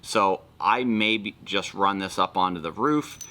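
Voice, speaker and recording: A man's voice: deep voice, measured pace, bright, forceful tone. A man hosting a casual product walkthrough, explaining things informally and step by step. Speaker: video host.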